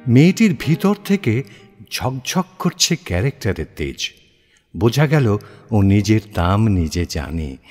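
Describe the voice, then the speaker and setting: A man's voice: on the low side, a little mellow, breathy edge. A man narrating a literary short story dramatically for an audio play. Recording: long hall-like reverb. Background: music.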